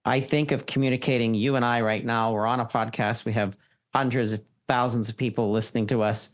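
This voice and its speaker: A man's voice: medium pitch, steady tone, a little fast. A man hosting a podcast interview, asking long, winding questions with restarts. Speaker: podcast host.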